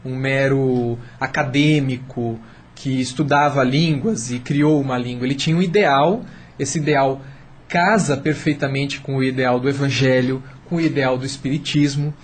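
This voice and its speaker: With faint noise behind him, a Brazilian man speaks at a middle pitch as a radio panel guest. He explains his points and often searches for the next word.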